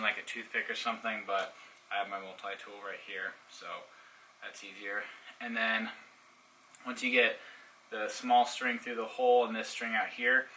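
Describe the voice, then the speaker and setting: A man with a very bright, forward voice, slightly on the low side, talks through a product in a casual review style. He explains informally, step by step. The mic sounds distant, with faint noise behind him. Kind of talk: casual unboxing review and how-to demonstration.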